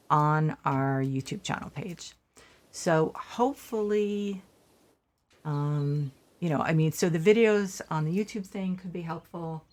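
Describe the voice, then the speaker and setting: A middle-aged woman streaming a game, her voice sitting quite low. She is hoarse and losing her voice, and talks in a soft, gentle way, hesitating often and restarting her sentences. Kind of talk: casual supportive chat during a game stream.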